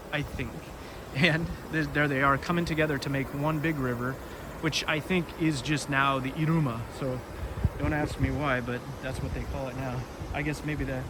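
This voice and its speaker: A man hosting a casual children's read-aloud outdoors, rambling and easily sidetracked as he talks. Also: medium pitch. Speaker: read-aloud storytelling host. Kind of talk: casual chat summarizing a children's book. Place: outdoors beside a river. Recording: noisy outdoor recording.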